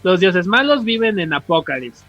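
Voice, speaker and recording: A man's voice: sits high, sing-song, fairly bright tone, lo-fi sound, faint music behind. A man with a Mexican accent explaining comics lore in a casual, slangy podcast chat, often restarting and correcting himself. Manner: informal, slangy, halting with self-corrections. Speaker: podcast co-host.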